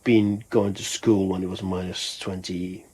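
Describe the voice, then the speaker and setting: Male vlogger from Finland, lowish voice, unscripted and conversational, wandering through his thoughts as he speaks. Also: little pitch movement, moderate pace, dark tone, slightly rough. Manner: rambling, conversational, thinking aloud. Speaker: musician vlogger. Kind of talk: casual vlog talk.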